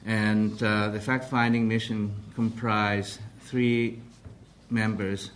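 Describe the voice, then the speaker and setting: Hesitant low-voiced man briefing the press. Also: level tone, slight background noise. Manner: hesitant, formal, many pauses and restarts. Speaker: council president briefing the press.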